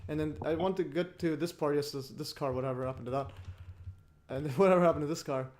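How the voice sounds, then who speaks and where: A man's voice: fairly high pitch, steady tone, quick pace, a little mellow, slight background noise. Rambling, laughing male livestream host. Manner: rambling, laughs often, many restarts. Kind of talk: casual livestream chat.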